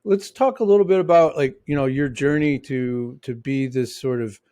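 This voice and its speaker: A man's voice: medium pitch, normal speed, warm tone, no room sound, studio quality. A man with a mixed Midwestern and New York accent hosting a casual podcast interview, rambling with lots of restarts and fillers. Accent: Midwestern and New York American. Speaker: podcast host.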